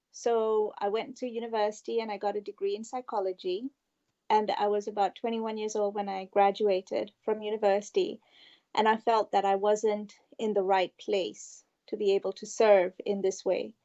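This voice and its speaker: Mellow-voiced woman from Malaysia, lo-fi line. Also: mid-range voice. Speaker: guest, past life regression therapist. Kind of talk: interview storytelling.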